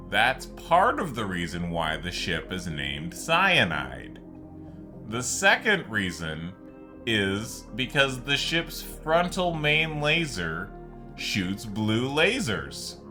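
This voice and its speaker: A man's voice: lowish voice, pushed and bright. A man giving casual, rambling gameplay commentary, explaining things with frequent asides. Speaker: gaming video creator narrating gameplay. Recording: game music behind the voice. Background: video game music.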